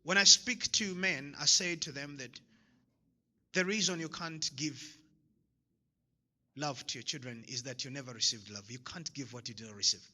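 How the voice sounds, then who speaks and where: A man's voice: medium pitch, normal speed, pauses often, pushed and bright. A man from Zambia giving a motivational talk to an audience, emphatic and repeating his key lines, often laughing at his own jokes. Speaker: motivational speaker. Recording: long hall reverb.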